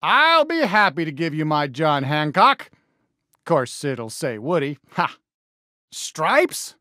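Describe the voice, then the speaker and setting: Casting: friendly, excitable cartoon cowboy sheriff for a game, American, folksy drawl, bright and forceful, swooping pitch. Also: male voice, takes it slowly, no echo, studio quality, exaggerated voice. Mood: cheerful, joking. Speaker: voice actor in a video game. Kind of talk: animated game character voice lines.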